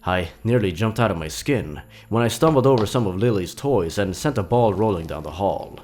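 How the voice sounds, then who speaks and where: Male horror narrator, low register, very lively intonation, suspenseful and theatrical scripted read. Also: moderate pace, soft background music.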